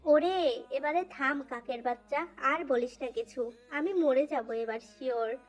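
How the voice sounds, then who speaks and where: A woman's voice: high-pitched, measured pace. A woman voicing a pleading, defensive, mischievous young male college student in a dramatised fan fiction. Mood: panicked inwardly. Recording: faint music under voice. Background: faint background music.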